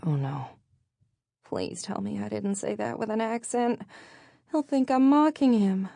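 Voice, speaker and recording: A woman's voice: lowish voice, normal speed, creaky, warm tone, dry recording, voice pushed up. A flustered young woman from an audiobook, put on by a female narrator. She is polite to a fault and self-conscious. Her phrasing is stilted and apologetic, recorded dry. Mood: mortified.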